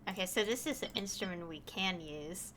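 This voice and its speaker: A woman streamer chatting casually through a game, easily delighted and quick to laugh. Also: lowish voice, fairly bright tone, slight background noise. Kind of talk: casual gameplay commentary.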